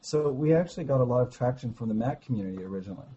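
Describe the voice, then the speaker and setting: Hoarse guy w a cold, warm low tone, lots of restarts, excited about his product. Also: muffled recording. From Canada. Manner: halting, many restarts, enthusiastic. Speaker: startup founder guest. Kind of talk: casual interview over a call.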